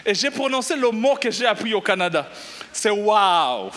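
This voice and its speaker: A middle-aged pastor from Burundi preaching a lively sermon, exclaiming and shouting at the peaks. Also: male voice, high-pitched, normal speed, a little hiss or hum. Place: church.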